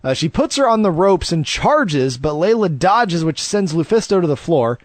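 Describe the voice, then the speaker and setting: Man, high voice, very lively delivery, dry close mic, enthusiastic wrestling podcast co-host. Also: moderate pace, no room sound. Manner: enthusiastic, laughs easily, jokey. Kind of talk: casual wrestling review chat.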